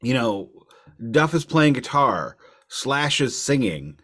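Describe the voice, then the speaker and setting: A man's voice: mid-range voice, sing-song, slow pace, fairly bright tone. Man chatting casually about music. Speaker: music podcast co-host. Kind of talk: casual album discussion.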